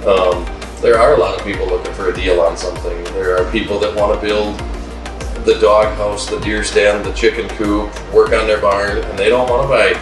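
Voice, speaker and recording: A middle-aged man with a low voice answers interview questions about his lumber business, speaking plainly and dropping frequent "um"s. He sits a little away from the mic in a wood-paneled room, so his voice carries a roomy echo. Music plays clearly behind him. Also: flat delivery, moderate pace, distant mic. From Wisconsin, USA. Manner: plain-spoken, frequent "um" fillers.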